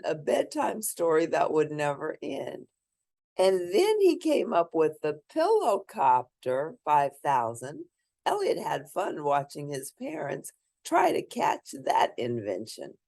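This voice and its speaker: A woman reading a children's picture book aloud in an expressive, emphatic way, with asides to young listeners. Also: sits low, vocal fry. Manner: animated, emphatic storytelling. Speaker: children's book reader and host.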